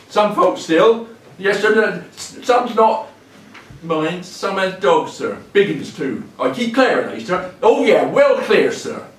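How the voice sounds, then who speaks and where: An actor playing a cheery, deferential homeless wanderer of about seventy in a stage monologue, with a rural Hampshire accent and a halting, rambling way of talking. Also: male voice, sits high. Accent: rural southern English, Hampshire. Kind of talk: theatrical dramatic monologue. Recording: echoey room, distant mic, faint noise.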